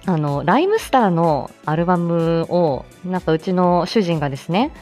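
A woman's voice: fairly low pitch, lively intonation, normal speed, darkish tone, soft background music. A woman hosting a live audio show, chatting casually and politely through morning announcements.

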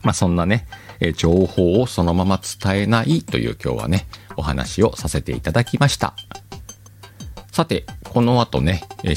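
Low-voiced, teasing man. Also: darkish tone. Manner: chatty, teasing, chuckles often. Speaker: podcast host. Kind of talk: casual solo talk.

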